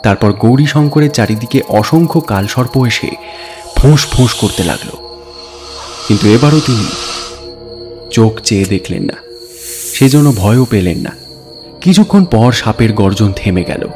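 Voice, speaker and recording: Deep-voiced man narrating horror, music behind. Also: lively intonation. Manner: suspenseful, deliberate, theatrical delivery in short phrases. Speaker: audio story narrator. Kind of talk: dramatic scripted narration of a horror story. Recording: voice over background music. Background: music bed.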